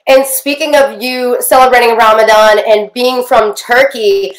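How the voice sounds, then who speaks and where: A woman's voice: fairly high pitch, steady tone, a little slow. A young woman hosting an upbeat interview show over a video call from a room at home. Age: young adult. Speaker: show host. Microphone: video call microphone. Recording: roomy video call sound.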